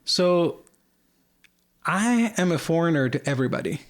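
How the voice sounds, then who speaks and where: A man's voice: fairly high pitch, expressive, normal speed. A man from Lebanon, talking as a guest at home in a candid, rambling way, full of "like" and "you know.". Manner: candid, rambling, filler-heavy with frequent "like". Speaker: podcast guest. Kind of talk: casual conversation.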